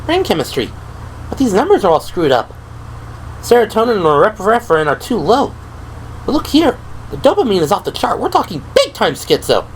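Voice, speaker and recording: Man voicing a high-pitched nervous teenage rookie girl. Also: huge pitch swings, brisk, a little hiss or hum, heavily acted, poor audio. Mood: eager, brainy. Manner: babbling, self-deprecating. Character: nervous, babbling eighteen-year-old female rookie medic. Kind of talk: audio drama character acting. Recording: music behind the voice.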